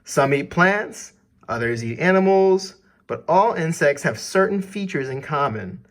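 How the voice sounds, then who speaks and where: A man's voice: fairly high pitch, expressive, measured pace. A man reading an educational text aloud for children as a teacher, putting questions directly to the listener.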